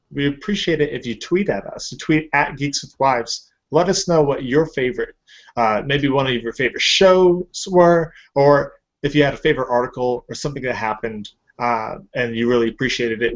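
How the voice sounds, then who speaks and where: A man hosting a casual podcast, chatty and upbeat, with fillers. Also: medium pitch. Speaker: podcast host. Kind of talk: casual chat. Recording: lo-fi podcast audio.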